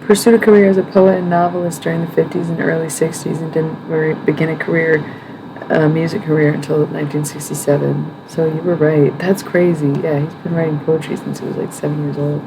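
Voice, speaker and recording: A woman hosting a livestream, rambling and thinking aloud, with many pauses and self-corrections. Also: sits low, level tone, noisy background. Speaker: livestream host. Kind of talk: musing livestream chat.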